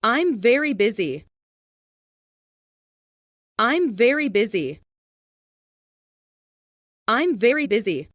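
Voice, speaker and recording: A woman reading scripted English example sentences for language learners, enunciating carefully and deliberately. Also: on the high side, big pitch swings, a bit bright, no echo, clean studio sound. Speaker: English lesson narrator.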